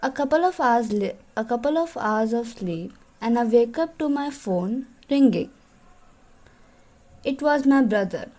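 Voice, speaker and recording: Woman, podcast co-host, unhurried story reading, warm soft tone, fairly high voice. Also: animated, rough recording. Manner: unhurried storytelling with small laughs. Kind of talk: reading listener stories aloud.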